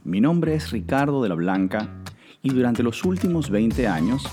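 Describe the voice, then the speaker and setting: A man's voice: mid-range voice, sing-song, moderate pace, mellow, soft background music. A man hosting a podcast, asking his guest long, effusive questions. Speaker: podcast host. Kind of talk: podcast interview.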